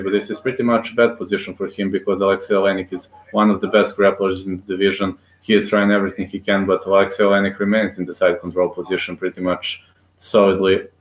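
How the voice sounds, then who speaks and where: A man giving live play-by-play commentary on a fight, excitable and cheering aloud, with frequent fillers and restarts. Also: low-pitched, fairly quick. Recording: echoey room.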